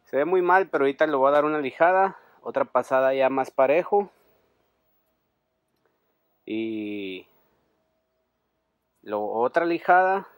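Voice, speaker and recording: A man, chatting casually as he does a repair, relaxed and self-deprecating, with halting restarts. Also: medium pitch, dry recording. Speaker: trucker vlogger. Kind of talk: casual DIY commentary. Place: outdoors in a driveway by a garage.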